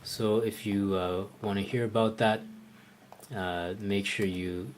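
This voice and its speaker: A man with a fairly low voice gives a live oud lesson, chatting through viewers' questions. He thinks out loud, stopping to restart sentences and filling pauses with ums and uhs. A faint hiss sits under his voice. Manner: thinks aloud with frequent fillers and restarts; relaxed, conversational. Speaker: oud teacher.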